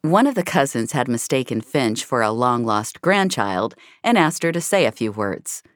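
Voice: mid-range voice, very animated, moderate pace, no echo, studio quality. A narrator reading scripted audio fiction in a wry, hard-boiled storytelling manner.

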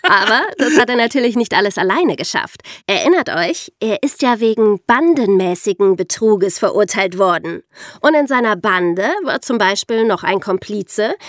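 A woman's voice: medium pitch, lively intonation, normal speed, dry recording, studio quality. A woman hosting a podcast, explaining things in a storytelling way with rhetorical questions. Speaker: podcast host.

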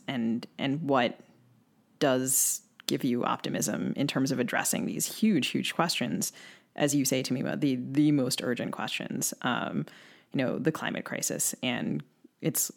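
A woman co-hosting a podcast, posing long, careful and thoughtful questions in a measured way. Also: extremely low pitch, vocal fry. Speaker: podcast co-host.

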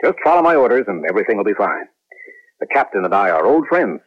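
A man's voice: fairly low pitch, big pitch swings, fast, husky. An American man acting a stern, proud colonel who trains clones, commanding, in a 1970s radio drama. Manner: commanding, authoritative. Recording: muffled lo-fi 1970s radio broadcast.

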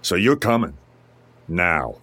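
A big, menacing enforcer in an audio drama. He takes his time over each taunt and threat, with a wide swing in pitch. He is foul-mouthed and deliberate. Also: sing-song, a bit bright, dry recording, heavily acted. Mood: cold menace.

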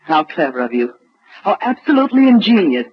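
A woman playing a scheming nightclub singer and femme fatale in a 1940s radio melodrama, with a husky voice. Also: lowish voice, big pitch swings, a little fast, a little hiss or hum. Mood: sarcastic. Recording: muffled old radio recording.

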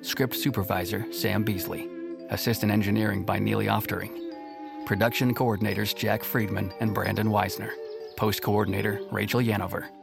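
A man reading the end credits of an audio drama in a steady, even list. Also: on the low side, normal speed, creaky. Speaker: credits announcer. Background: music.

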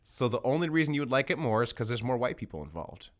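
A man with a mid-pitched voice hosts a casual sports podcast. He is blunt and opinionated, teasing as he goes and talking in loose, conversational banter. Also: big pitch swings, fast talker. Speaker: podcast host.

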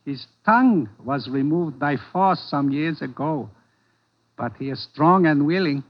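An actor playing a courteous, menacing gang boss in an old radio drama, speaking formally and with measured politeness. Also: male voice, fairly high pitch, expressive. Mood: polite menace. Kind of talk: 1940s radio acting. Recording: dull, lo-fi 1940s radio recording.

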